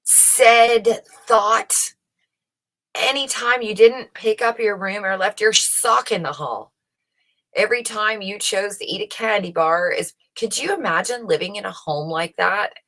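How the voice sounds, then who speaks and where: A woman's voice: mid-range voice, a little slow, a bit bright, poor audio. A woman giving casual commentary on research she reads out, opinionated and emphatic, often putting rhetorical questions to the listener. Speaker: host reading and commenting on research papers.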